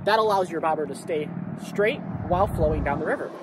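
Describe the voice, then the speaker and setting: A young man hosting a casual fishing vlog outdoors by a river, explaining his gear and cheering loudly when fish bite, recorded on a body-worn action camera. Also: a little high, brisk. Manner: excited outbursts when fish are hooked. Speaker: fishing video host. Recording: outdoor wind and river noise.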